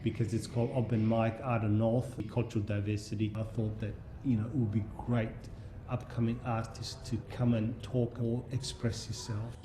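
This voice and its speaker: A man, speaking in a relaxed, simple way in a short documentary interview. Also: lowish voice, moderate pace, in a large hall, rough recording. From Somalia. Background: guitar music.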